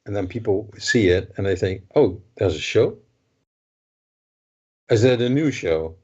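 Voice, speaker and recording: A man's voice: on the low side, expressive, a little slow, darkish tone, husky. A man from the Netherlands, a model show organizer, talking in a casual podcast chat, hesitant with many restarts. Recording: dull lo-fi remote audio.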